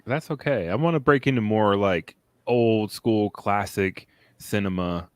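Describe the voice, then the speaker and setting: A man hosts a casual film podcast in an unhurried, drawn-out way. His voice sits low and he rambles, laughing often. The sound is close and dry, with no room around it. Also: smooth, dry recording. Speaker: podcast host.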